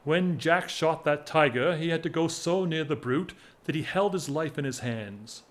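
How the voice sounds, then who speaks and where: An actor playing a whimsical, lovesick English gentleman of forty-one in a period audio drama, wry, measured and self-mocking. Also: on the high side, close to an everyday voice.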